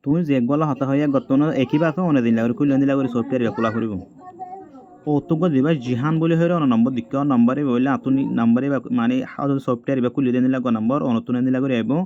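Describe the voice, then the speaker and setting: A man's voice: mid-range voice, warm tone, lo-fi sound. A man with a Rohingya-Chittagonian accent giving an informal how-to explanation for social media, going step by step and repeating himself. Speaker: tech tutorial video creator.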